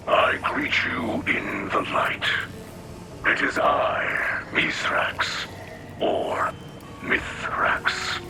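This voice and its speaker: An actor playing a solemn, reverent alien elder and mystic guide who speaks in formal, weighty phrases in a game. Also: male voice, mid-range voice, gravelly, very noisy, exaggerated voice. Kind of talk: scripted game dialogue. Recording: loud music under the voice.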